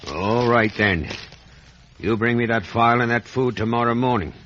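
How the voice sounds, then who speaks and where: Actor as a menacing escaped convict, forceful voice. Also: male voice, medium pitch, normal speed, close to an everyday voice. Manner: threatening, rough dialect speech. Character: menacing, wretched escaped convict, adult man. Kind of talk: 1950s radio drama acting. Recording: muffled, noisy vintage radio recording.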